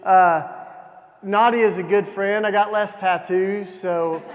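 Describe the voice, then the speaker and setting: Southern guy from tennessee, pretty high voice, rambling friendly keynote at church event, big reverb. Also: steady tone, slow, slight background noise. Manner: chatty, self-deprecating, many fillers and restarts. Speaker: keynote speaker. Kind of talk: informal speech to a church gathering. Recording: long hall reverb.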